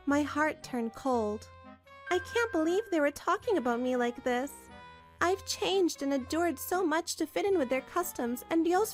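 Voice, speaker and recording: A woman narrates a scripted manga drama in the first person. Her voice is high-pitched and expressive, telling the story over background music. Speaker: narrator and voice actor.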